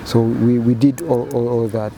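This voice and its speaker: A man in his fifties with a Zambian accent, answering interview questions in measured, halting phrases with many repeated words. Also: mid-range voice, fairly even intonation, background noise. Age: 50s. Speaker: painter and photographer interviewee.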